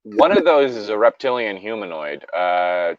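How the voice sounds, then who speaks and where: A man's voice: on the low side, big pitch swings, normal speed, no echo, highs cut off. A man chatting casually and reading aloud with friends, rambling and joking, swearing freely. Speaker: co-reader on a group creepypasta reading. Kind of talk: casual group chat and read-along banter.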